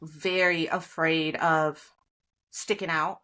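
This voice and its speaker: A woman from India in her forties, a guest in a casual conversation, telling stories in an animated way with frequent laughter. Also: deep voice, takes it slowly.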